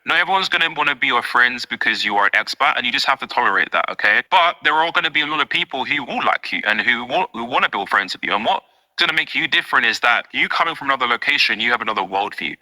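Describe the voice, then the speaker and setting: A man's voice: medium pitch, animated, fast, bright, forceful tone, poor audio. A young British man from the UK talking casually to camera from his home, chatty and direct with frequent fillers and rhetorical asides. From United Kingdom. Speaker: vlogger.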